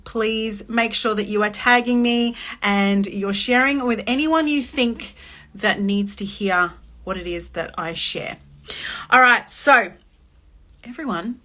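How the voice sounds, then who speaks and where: A woman in her late thirties hosting a chatty, expressive motivational live-stream talk. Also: mid-range voice, slow. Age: 30s. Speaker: host.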